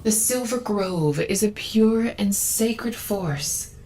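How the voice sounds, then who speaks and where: An actress playing a devout, earnest female zealot guarding a sacred forest in a video game, reverent, solemn and measured. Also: mid-range voice, warm tone, faint music behind, natural voice, rough recording.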